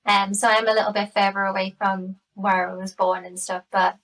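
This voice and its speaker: Chatty Geordie woman with an even pitch. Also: moderate pace, distant mic. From Newcastle area, England. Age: late 30s. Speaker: podcast guest. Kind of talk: casual chat.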